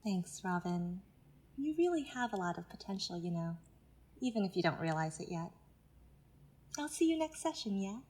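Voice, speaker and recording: An actress playing a patronizing self-help mentor who presses with controlling, condescending insistence in an audio drama. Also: female voice, mid-range voice, very animated, moderate pace, long pauses, a little mellow, close to an everyday voice. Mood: falsely encouraging. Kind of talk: naturalistic audio drama acting.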